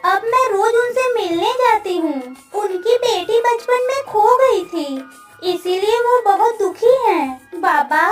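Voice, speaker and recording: Woman voicing a very high-pitched, stubborn little village girl. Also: takes it slowly. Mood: earnest. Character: spirited, stubborn seven-year-old village girl. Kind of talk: Hindi children's cartoon dialogue. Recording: roomy, slightly distant sound, faint music.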